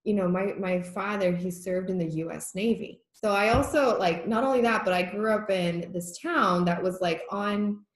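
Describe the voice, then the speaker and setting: A woman's voice: mid-range voice, normal speed, hardly any pauses. A young woman from Massachusetts whose first language is Korean, chatting at home over a video call in a rambling way, laughing often and using many filler words. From United States, Massachusetts. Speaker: conversation guest. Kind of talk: casual reflective chat. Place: home room. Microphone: video call laptop mic. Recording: roomy echo on a video call.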